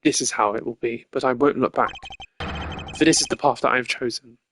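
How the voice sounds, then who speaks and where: A man voicing a composed, determined prosecutor from a video game, speaking formally and in measured phrases. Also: mid-range voice, steady tone, fairly bright tone, a little hiss or hum, lightly acted. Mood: brooding, resolved. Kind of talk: video game dialogue acting.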